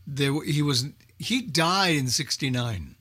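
A man's voice: fairly high pitch, expressive, measured pace, a bit bright. An older man chatting casually as a knowledgeable old-time radio enthusiast, hesitant and thinking aloud. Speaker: old-time radio expert on a group call. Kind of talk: casual group discussion.

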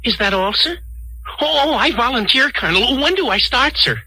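An actor playing a meek, anxious young army private, eager to please, in old-time radio drama style. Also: male voice, extremely high pitch, animated, moderate pace. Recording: very noisy old radio recording.

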